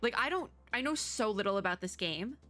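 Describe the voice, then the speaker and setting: Bright-voiced chatty woman reacting to games. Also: medium pitch, brisk. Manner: chatty, expressive, slangy. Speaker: VTuber reactor. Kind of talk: casual reaction commentary.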